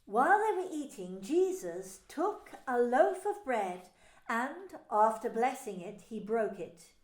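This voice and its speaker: A woman narrating a dramatised Bible story in scripted, expressive storytelling. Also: fairly high pitch, slow pace. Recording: echoey room with faint music.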